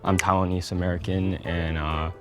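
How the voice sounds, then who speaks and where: A man's voice: deep voice, level tone, moderate pace. A man in his thirties from California introducing himself with a few "uh"s. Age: 30s. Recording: show clip with clear background music.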